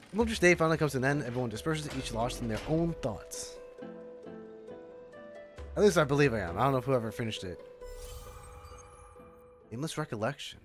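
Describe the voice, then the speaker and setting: A man livestreaming a game in a casual, rambling, chatty way, reacting and reading text aloud. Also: medium pitch. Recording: faint music behind voice. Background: faint game music.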